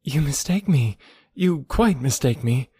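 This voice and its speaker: A man voicing a lively, eager young gentlewoman with emphatic, genteel speech in an audiobook. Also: fairly high pitch, normal speed, slightly rough, voice pushed up. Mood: incredulous. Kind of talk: audiobook character dialogue.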